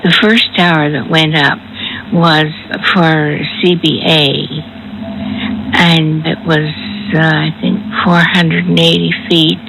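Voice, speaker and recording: A woman in her nineties reminiscing as an interviewee, halting with pauses between her phrases. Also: deep voice, level tone, creaky, background noise. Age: 90s. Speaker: interviewee, former radio station worker.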